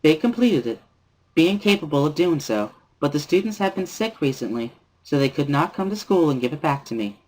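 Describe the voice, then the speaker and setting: A poised teenage class rep, played by a man speaks in a somewhat raised voice. She is formal and measured, polite on the surface with a quiet barb underneath, in a visual-novel dramatic reading. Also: on the high side, lively intonation, rough recording. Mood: politely defensive. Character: composed, polite teenage girl class rep, subtly barbed.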